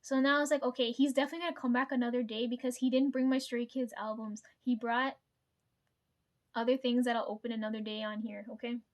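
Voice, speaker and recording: A woman talks straight to her viewers in a high, bright register, winding through a story in loose casual chat. She swears freely, laughs often and keeps checking in with her audience. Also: level tone, quick pace, lo-fi sound. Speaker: vlogger.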